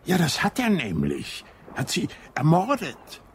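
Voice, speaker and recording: A wry, gravelly inspector. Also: male voice, a little high, huge pitch swings, measured pace, a little hiss or hum, exaggerated voice. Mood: sardonic. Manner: wry understatement, mock-casual. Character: dry, ironic British police inspector. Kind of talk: radio drama acting.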